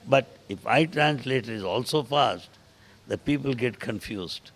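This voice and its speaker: An older man with an Indian accent and Telugu as his first language, answering interview questions at a measured pace with small pauses and fillers. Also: medium pitch. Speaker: interviewee, translator.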